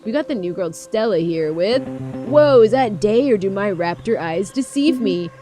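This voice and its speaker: A woman's voice: mid-range voice, expressive, moderate pace, warm tone, natural voice. Woman reading as an outgoing teenage raptor boy, music behind. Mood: upbeat. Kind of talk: visual novel dialogue read aloud.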